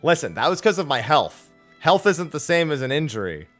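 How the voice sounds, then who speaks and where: Wildly animated male game streamer. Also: on the high side, a little fast, faint music behind. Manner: joking, reactive, excitable. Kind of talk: casual live playthrough commentary.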